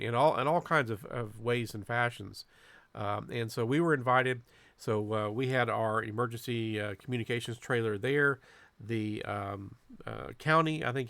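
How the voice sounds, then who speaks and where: A man giving a casual, unscripted club video narration as presenter, in a halting way with frequent pauses and repeated words. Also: fairly low pitch, fairly bright tone, no echo. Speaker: amateur radio club presenter.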